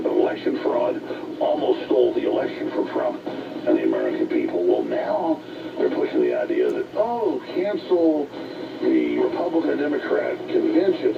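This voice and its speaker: A strongly gravelly man whose pitch swings widely as he argues emphatically. He sounds far away and muffled on a shortwave broadcast with loud static. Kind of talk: talk radio commentary.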